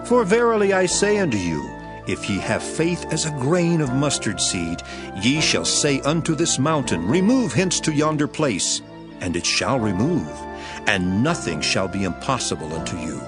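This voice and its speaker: An actor playing a solemn, authoritative teacher and master in a dramatized scripture, declaiming deliberately. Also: male voice, mid-range voice, very animated, close to an everyday voice. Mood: emphatic. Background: music.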